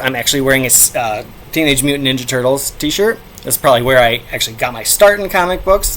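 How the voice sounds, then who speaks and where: Middle-aged male review host, playful and casual, lively swings in pitch, faint noise behind the voice. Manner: playful, animated, breaking into shouted sound effects. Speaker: comic book review show host.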